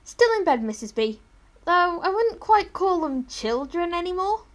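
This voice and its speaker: A woman playing a wry, deadpan household maid with a darkly blunt streak, heard at a distance. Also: extremely high pitch, normal speed.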